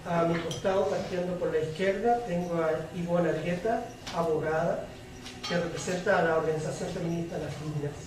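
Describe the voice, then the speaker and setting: A man moderating a public panel in a semi-formal, measured way, with deliberate, halting phrasing. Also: on the high side, steady tone. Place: public debate room with audience. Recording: very echoey hall, distant mic, clear noise.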